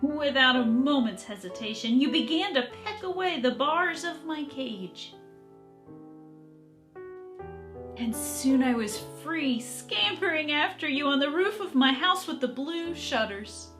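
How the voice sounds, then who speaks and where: A woman voicing a longing captive housecat who tells its own story in a children's book, dreamy, deliberate and dramatic. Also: sits high, animated, fairly bright tone, faint music behind. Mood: eager.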